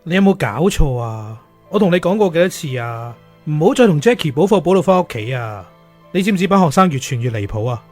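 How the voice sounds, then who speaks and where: A man plays a strict, jealous teacher in his early thirties in a Cantonese radio drama. He speaks quickly with very lively pitch in a Hong Kong accent. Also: faint music behind. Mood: exasperated. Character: stern, jealous thirty-one-year-old male teacher, worried fiancé.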